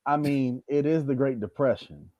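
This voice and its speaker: A man giving casual, musing commentary with halting starts as he chats on a comedy podcast. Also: mid-range voice, mellow, no echo, muffled recording.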